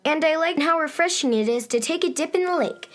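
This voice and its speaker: A woman's voice: high-pitched, brisk, a bit bright. An actress playing a sunny, self-satisfied young girl who rules summer in a children's radio drama, breezy and gushing. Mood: cheerful, proud.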